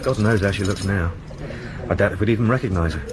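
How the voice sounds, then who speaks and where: A man playing a quick earnest detective sergeant. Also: lowish voice, very noisy, natural voice. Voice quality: earnest and deferential. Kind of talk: radio drama acting.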